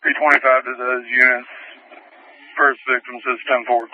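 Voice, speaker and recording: A man's voice: medium pitch, level tone, moderate pace, dry recording, phone-quality sound. A man, a police officer, making terse, clipped calls to dispatch over a police radio. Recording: police scanner radio audio.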